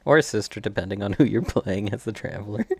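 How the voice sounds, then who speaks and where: A man's voice: lowish voice, fairly quick, gravelly, breathy edge. A man doing casual commentary while streaming a video game. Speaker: gameplay streamer.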